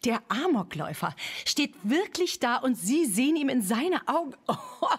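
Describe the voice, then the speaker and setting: A woman playing a sensation-hungry talk show host in a satirical radio drama, husky, effusive and probing. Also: high-pitched, big pitch swings, normal speed, full character voice. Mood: gushing, probing.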